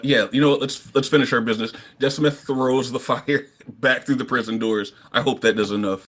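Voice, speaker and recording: A man's voice: medium pitch, fairly even intonation, fairly quick, a bit bright. A man talking casually as a player in a tabletop roleplaying game.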